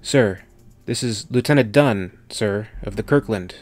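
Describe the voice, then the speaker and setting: A man's voice: fairly low pitch, a little slow, darkish tone, soft background music, close to an everyday voice. A man voicing a very young, nervous military lieutenant in an audiobook, deferential and hesitant. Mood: nervous, polite. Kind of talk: audiobook character dialogue.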